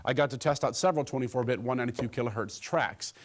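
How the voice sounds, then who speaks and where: A man's voice: medium pitch, fast. A man presenting a scripted gadget review, with a joking streak in his delivery.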